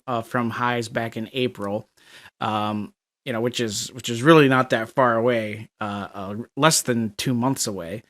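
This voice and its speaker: A man's voice: mid-range voice, fairly bright tone. A middle-aged man from Minnesota with a Minnesotan accent, talking through price charts with lots of fillers and restarts. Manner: rambling, many fillers and restarts. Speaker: market analyst. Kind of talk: chart analysis commentary.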